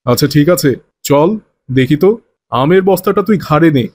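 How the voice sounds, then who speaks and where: A man plays a righteous village father in a moral cartoon. His pitch is a little high for a man. He lectures in a measured, stern but forgiving manner. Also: expressive, a little mellow, a little hiss or hum, close to an everyday voice. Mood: disapproving.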